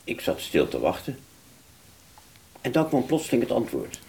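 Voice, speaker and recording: A man playing a wounded British fighter pilot, telling his story in a radio play with a husky voice and halting, stammering delivery. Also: medium pitch, wildly swooping pitch, darkish tone, exaggerated voice. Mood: haunted, halting. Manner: halting, stammering, repeats words. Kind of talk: first-person dramatic narration in a radio play.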